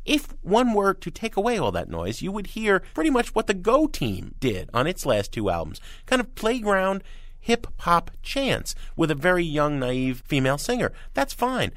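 A man's voice: high voice, huge pitch swings, normal speed, fairly bright tone, no room sound, clean studio sound. A man, a music critic and co-host giving an opinionated, emphatic album review.

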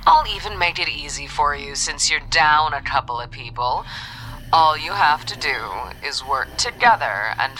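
A woman's voice: fairly low pitch, pushed and bright, full character voice, rough recording. An actress playing an irritated, menacing woman running a deadly game, sarcastic and condescending in an audiobook drama.

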